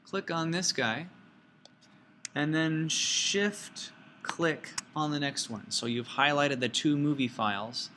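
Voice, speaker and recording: Bright-voiced man teaching a tutorial. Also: fairly high pitch, slow pace, slight background noise. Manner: folksy, playful asides and sound words. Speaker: teacher giving a software tutorial. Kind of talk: informal step-by-step screencast instruction.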